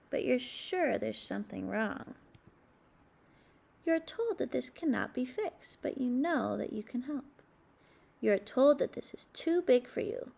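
A woman reading aloud as a children's book host, in deliberate, expressive phrasing meant for young listeners. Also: high voice, creaky. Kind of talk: read-aloud storybook narration with discussion questions.

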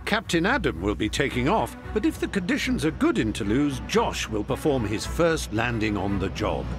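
A man's voice: medium pitch, sing-song, normal speed. A man narrating a documentary in a scripted voice-over style. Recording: voice-over with music behind.

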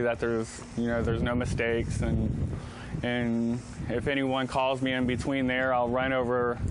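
A man in his forties, co-owner of a plumbing firm, answering interview questions outdoors at a building site in a plain-spoken, hesitant way with many ums. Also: mid-range voice. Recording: outdoor recording with loud wind noise.

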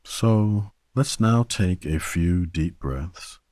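A man guiding a sleep meditation in a gentle, soothing manner, leaving long pauses between short phrases. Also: deep voice, no room sound, studio quality. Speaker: meditation guide. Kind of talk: guided sleep meditation narration.

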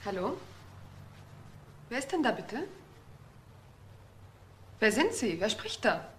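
A woman's voice: on the high side, big pitch swings, slow, a bit bright, exaggerated voice. An actress playing a curt, dismissive woman on the telephone in a crime radio play, with clipped, insistent phrasing. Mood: curt, impatient. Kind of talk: German crime radio drama acting. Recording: faint background noise.

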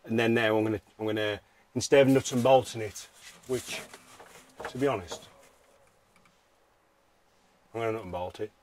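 A man's voice: lowish voice, normal speed, long pauses, a little mellow, a little hiss or hum. A British man giving a casual hands-on how-to commentary, informally thinking aloud as he works. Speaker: DIY vehicle vlogger.

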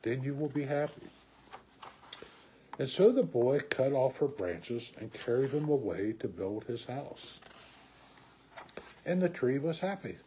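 A man in his sixties reading a children's picture book aloud at home with slow, deliberate, expressive phrasing. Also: medium pitch, a little hiss or hum. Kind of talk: read-aloud children's story. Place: small room at home, indoors.

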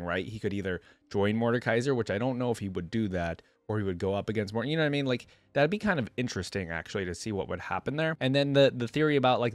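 A man's voice: fairly low pitch, dry recording. A young man hosting a reaction video, chatting casually to camera in an informal, rambling way into a close desk mic. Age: young adult. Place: small indoor gaming setup. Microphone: close desk microphone on arm.

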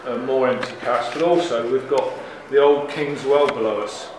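A middle-aged head brewer giving a walking tour in plain, unhurried speech with fillers, filmed on a camera held close by. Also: male voice, mid-range voice, fairly even intonation. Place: large echoey brewery building, indoors. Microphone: handheld camera close by, following him. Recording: echoey rooms with air-conditioning hum. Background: air-conditioning hum.

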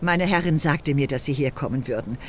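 Man as prim, high-voiced male servant. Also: brisk, a little hiss or hum, lightly acted. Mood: composed, formal. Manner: formal, proper, clipped. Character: prim, formal household servant. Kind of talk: radio play acting in German audio drama.

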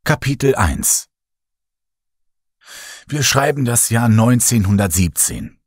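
Male horror narrator, slow and hushed, breathy timbre, dry booth sound. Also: fairly low pitch, no room sound. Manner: slow, hushed, dramatic storytelling. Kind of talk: scripted horror audiobook narration.

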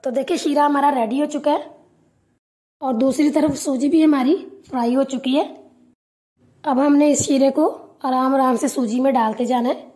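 Woman cooking-channel host, high pitch, warm mellow tone, calm step-by-step tutorial voice-over. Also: moderate pace, pauses often.

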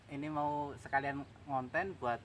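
A man's voice: fairly high pitch, fairly even intonation, unhurried, crowd noise. A young man in casual vlog chat, recorded outdoors on a camera a few metres away. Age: young adult. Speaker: vlogger selling his camera gear. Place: outdoors on grass by a rice field. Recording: noisy outdoor camera audio.

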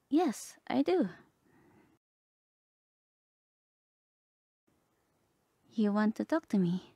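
A woman playing a gentle, lovestruck bard companion in a romantic ASMR roleplay, speaking softly and tenderly, often half whispering. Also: high voice, no echo, full character voice. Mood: gentle, cheerful. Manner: tender, hesitant, polite.

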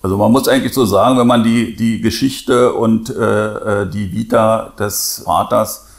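A man in his sixties, a lawyer giving an interview statement in halting, hesitant phrases on a lapel mic. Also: lowish voice, steady tone, creaky. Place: small office indoors. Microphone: lapel microphone.

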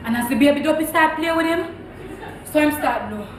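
A woman's voice: high voice, lively intonation, fairly quick, a crowd in the background. A young woman with a Jamaican accent playing a nosy, superstitious, outspoken housemaid in a comedic stage play. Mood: emphatic. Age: young adult. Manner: blunt, inquisitive, emphatic. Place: theatre stage before an audience. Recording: echoey theatre stage, noisy ambience.

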